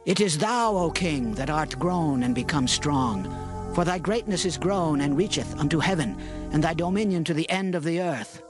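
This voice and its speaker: An actor playing an earnest, respectful prophet counselling his king in a dramatized scripture reading, solemn and measured. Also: fairly high pitch, lively intonation, lightly acted. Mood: grave, respectful. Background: music.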